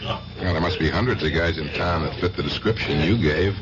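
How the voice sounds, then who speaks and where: An actor playing a steady, protective, plain-spoken uncle in an old-time radio drama. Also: male voice, sits low, a little fast, natural voice. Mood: matter-of-fact. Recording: dull, noisy old radio recording.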